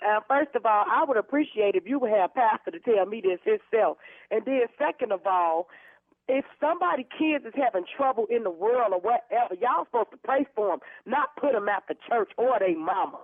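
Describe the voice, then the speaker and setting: A woman's voice: on the high side, lively intonation, fairly quick, no room sound. Indignant woman on a tinny phone line. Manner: firm, indignant, emphatic. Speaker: prank call target, church member. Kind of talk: phone conversation. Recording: narrow tinny telephone line.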